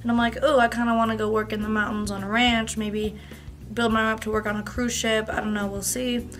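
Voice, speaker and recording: A woman's voice: a little high, little pitch movement, a little fast, a bit bright. A young woman giving chatty, anecdotal interview answers in a casual way. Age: young adult. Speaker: employee being interviewed.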